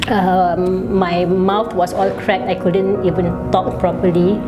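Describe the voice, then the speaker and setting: A woman's voice: lowish voice, flat delivery, slow. A woman giving a personal testimony in a hesitant, informal way. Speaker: interviewee, eczema sufferer. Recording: music over the voice. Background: background music.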